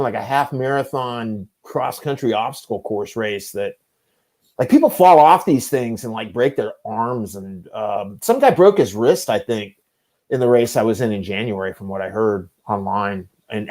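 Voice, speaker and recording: A man in his fifties hosts a relaxed conversation in a mid-range voice. He rambles through long anecdotes and pokes fun at himself. His speech is loose and conversational, full of asides. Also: mid-range voice. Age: 50s. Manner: rambling, self-deprecating storyteller. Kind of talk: casual chat.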